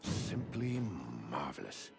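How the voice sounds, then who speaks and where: An actor playing a courteous, formal keeper of armaments in a fantasy video game, speaking politely in measured, ornate phrases. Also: male voice, very deep voice, huge pitch swings, gravelly, full character voice. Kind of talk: scripted video game character dialogue. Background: music.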